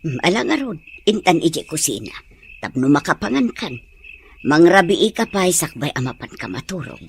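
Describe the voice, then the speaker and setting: Casting: actress as caring, anxious Ilocano mother, animated intonation, fussing manner, radio-drama style, some background noise. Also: lowish voice, moderate pace. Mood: tender, urging. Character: doting, worried mother of a grown son. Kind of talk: audio drama acting.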